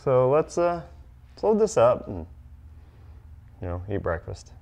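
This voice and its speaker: A man's voice: mid-range voice, very animated, unhurried, a little mellow, slight background noise. A man in his forties filming a casual vlog, chatty and upbeat, with plenty of fillers. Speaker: vlogger, truck parts seller. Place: garage, driveway and large exhibition hall.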